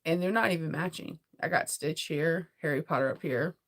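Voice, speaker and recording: A middle-aged American woman chats informally to her livestream viewers. Her voice sits a little low and sounds congested from a cold, unsteady and prone to dropping out. She rambles in a sleepy, giggly, conversational way. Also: moderate pace, a bit bright. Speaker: sewing vlogger. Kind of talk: casual livestream chat.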